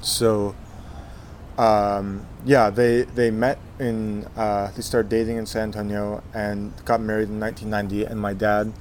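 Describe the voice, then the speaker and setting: Young man in his twenties, lowish voice, halting delivery full of ums, faint background hiss. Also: a little mellow. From Texas, USA. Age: 20s. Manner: hesitant, many fillers and restarts. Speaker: guest, Zen monk. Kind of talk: casual life-story interview.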